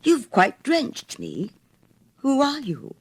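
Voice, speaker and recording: A woman voicing a small, proud, flighty male swallow in a storybook, with a brisk, self-assured, exclaiming manner. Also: sits high, huge pitch swings, breathy edge, pitched above the performer's own voice, exaggerated voice. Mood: curious. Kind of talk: storybook character reading.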